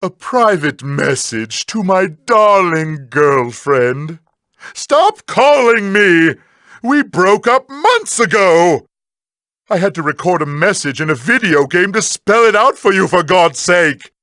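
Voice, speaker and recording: An actor playing a sardonic, unhinged villain in a cartoon video game, with a theatrical, sarcastic delivery. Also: male voice, high-pitched, big pitch swings, takes it slowly, sharp tone, no room sound, clean studio sound, full character voice. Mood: exasperated, ranting. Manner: theatrical, sarcastic, swinging to outbursts.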